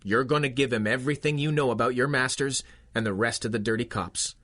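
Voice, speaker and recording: A man's voice: medium pitch, a little fast, fairly bright tone, natural voice. A man playing a resolute young police constable in a 1930s-style radio drama. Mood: firm, commanding.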